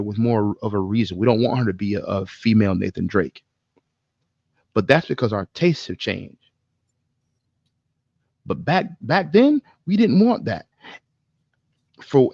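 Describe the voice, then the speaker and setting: Male co-host, low gravelly voice, opinionated casual chat, free swearing, dull dry recording. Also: mellow, dry recording. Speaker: podcast co-host. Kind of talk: casual chat about video game movies.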